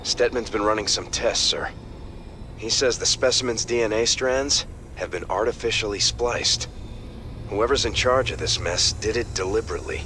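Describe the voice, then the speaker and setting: A man's voice: lowish voice, normal speed, pushed and bright, slight background noise. An actor playing an earnest, dutiful male ship's officer in a sci-fi video game, reporting formally. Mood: puzzled, businesslike. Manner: clean, formal military reporting.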